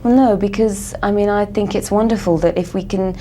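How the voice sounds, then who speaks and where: An English actress answering interview questions earnestly, with many "you know" fillers. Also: female voice, medium pitch, steady tone, a little fast, warm tone, slight background noise. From England.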